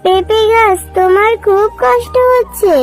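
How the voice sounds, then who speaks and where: A woman's voice: very high voice, fairly even intonation, a little slow. A woman voicing an earnest little jackal girl in a children's cartoon, with a childlike, plaintive way of talking over music. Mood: sympathetic. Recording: music behind the voice.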